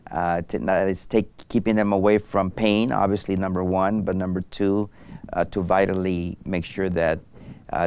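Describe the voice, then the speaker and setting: A man's voice: deep voice, darkish tone, slight background noise. A middle-aged man from Mexico, a doctor guest in an interview, speaking thoughtfully with many fillers and restarts on a lapel mic in a studio set. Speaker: anesthesiologist guest. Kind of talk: interview conversation.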